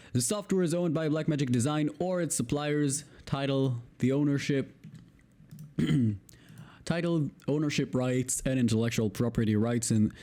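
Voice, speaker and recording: A man's voice: medium pitch, mellow. A man with an Israeli accent, a native Hebrew speaker, reading a legal text aloud, tripping over long words and breaking off to swear in exasperation. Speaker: video creator.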